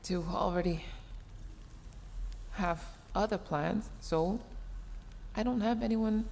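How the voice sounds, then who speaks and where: A woman's voice: mid-range voice, slow pace, a little mellow. Woman acting a huffy tsundere mech engineer, cavernous reverb. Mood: flustered, shy. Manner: huffy, flustered, stammering, emotional delivery. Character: tsundere young woman mech engineer, scolding but secretly caring. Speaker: voice actor. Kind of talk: sci-fi audio roleplay, addressed to the listener. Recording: long reverb, clear background noise.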